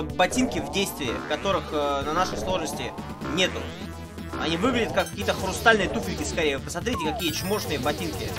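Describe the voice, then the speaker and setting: Chatty male streamer over game music. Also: a little high. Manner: chatty, greets and thanks viewers one by one. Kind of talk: casual live-stream chat. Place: small room indoors.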